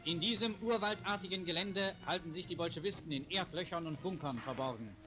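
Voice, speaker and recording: A man's voice: high-pitched, fairly quick. A man reads scripted wartime newsreel narration in a formal, declamatory announcer style, on a 1940s film soundtrack. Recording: 1940s newsreel soundtrack, loud music behind. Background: loud music.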